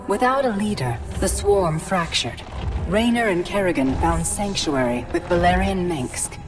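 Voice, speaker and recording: A woman acting as a solemn, measured chronicler, narrating a galactic war saga for a game cinematic. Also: fairly low pitch, animated. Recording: prominent orchestral music behind. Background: music.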